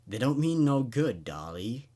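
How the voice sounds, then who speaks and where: A man's voice: mid-range voice, very animated, a little slow, warm tone. Man voicing a slangy, cajoling sinister mannequin. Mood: conspiratorial.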